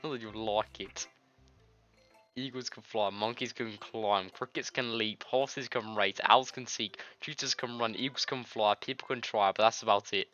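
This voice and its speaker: A man's voice: fairly low pitch, steady tone, moderate pace, bright, forceful tone, lo-fi sound. A man reading visual novel game dialogue aloud as a let's-play host, in a loose, stumbling way. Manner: loose, stumbling read-aloud with misread words. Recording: music behind the voice. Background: game music.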